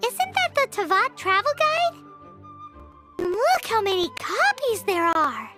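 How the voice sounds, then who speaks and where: A woman voicing a tiny, cheerful childlike fairy girl in a video game, with a squeaky, eager voice. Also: sing-song, slow, over music, full character voice. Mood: eager, curious. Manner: refers to self in third person. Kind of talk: English video game voice acting.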